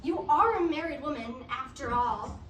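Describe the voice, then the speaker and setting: A woman's voice: high-pitched, lively intonation, normal speed, fairly bright tone. A woman playing an upright, loyal young male servant in amateur reader's theatre, speaking earnestly. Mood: indignant. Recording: roomy, distant, faintly noisy.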